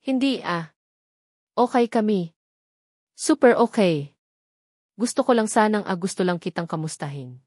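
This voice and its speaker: A woman's voice: medium pitch, expressive, measured pace, pauses often, darkish tone, no echo, clean studio sound. A woman voicing a troubled young woman who hesitantly hides distress behind forced lightness in a dramatic story. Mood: forced cheer.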